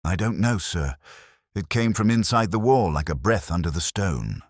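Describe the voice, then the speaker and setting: A man's voice: deep voice, animated, moderate pace, dry recording, clean studio sound. A man voicing a frightened, helpless foundry worker speaking deferentially in an audiobook. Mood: shaken. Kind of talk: audiobook character dialogue.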